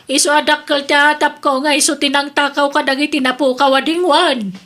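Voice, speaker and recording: A woman's voice: high voice, little pitch movement, fairly quick. A woman with a Filipino accent playing a spiteful, accusing middle-aged gossip in an audio drama. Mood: suspicious. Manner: sharp, accusatory. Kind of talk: audio drama acting in Ilocano.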